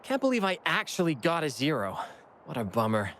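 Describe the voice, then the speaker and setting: A man's voice: a little high, huge pitch swings, brisk, a bit bright, a little hiss or hum. A man voicing a frustrated, idealistic young student in a video game. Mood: disappointed, indignant.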